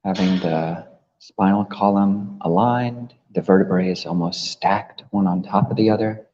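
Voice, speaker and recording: A man leading a guided meditation as a teacher, gently instructing with long pauses between phrases. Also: low-pitched. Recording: muffled, long reverberant hall echo.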